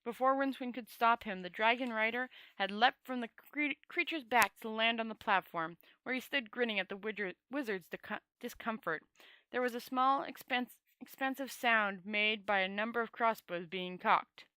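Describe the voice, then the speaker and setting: Casting: female amateur audiobook reader, fairly high bright voice, dry close sound, halting delivery with self-corrections. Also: no room sound. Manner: halting, often stumbling and correcting herself. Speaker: storyteller. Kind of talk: amateur read-aloud audiobook narration.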